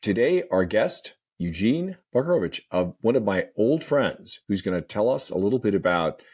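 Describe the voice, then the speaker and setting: A podcast host with a somewhat low, husky voice. He talks in an easy conversational way, but often stumbles over repeated words before getting a question out. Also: sing-song, normal speed, darkish tone. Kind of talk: conversational interview.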